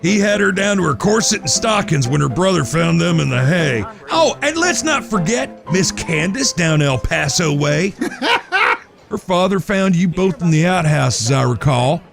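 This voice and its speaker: A man plays a wry, folksy cowboy who leads his brothers in an Old West audio drama. His Western American drawl is creaky and fairly high for a man, rising and falling freely over a music bed. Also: expressive, normal speed, few pauses. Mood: amused. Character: folksy teasing older cowboy brother, leader of the trio.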